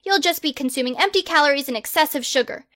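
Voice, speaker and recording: A woman's voice: high voice, fast talker, fairly bright tone, no echo, clean studio sound. A woman voicing a pompous, self-proclaimed boy wizard hero who makes grandiose, bossy proclamations.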